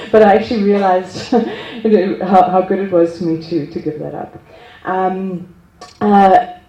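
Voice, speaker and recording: Woman, casual group share, self-deprecating and laughing, mellow voice on a mic in an echoey room. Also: medium pitch, steady tone, takes it slowly, dull audio. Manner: self-deprecating, laughs often, many restarts. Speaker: group speaker sharing experience. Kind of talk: casual personal sharing at a gathering. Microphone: handheld microphone.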